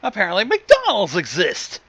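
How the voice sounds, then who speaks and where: A man's voice: high voice, huge pitch swings, normal speed, creaky, a bit bright. A man giving casual, wry, sarcastic commentary with casual swearing, over a lo-fi mic with faint noise.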